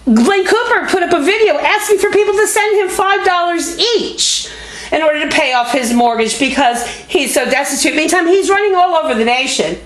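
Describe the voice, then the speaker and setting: Woman activist vlogger, higher register, loud and indignant, unscripted fundraising pleas, roomy homemade sound. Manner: emphatic, indignant, folksy direct address. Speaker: activist video host and fundraiser. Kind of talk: impassioned unscripted appeal to viewers. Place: motel room. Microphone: small video camera. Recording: echoey room, homemade camera audio. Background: background music.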